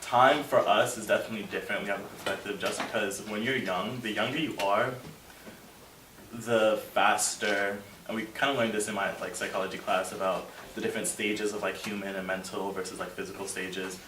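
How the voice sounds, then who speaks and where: A young man in his twenties with a lowish voice, talking earnestly and loosely. He fills his sentences with "like". He sounds distant in an echoey room with faint background noise. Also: steady tone, fairly quick, a bit bright. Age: 20s. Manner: earnest, rambling, many fillers like "like". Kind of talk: casual group discussion.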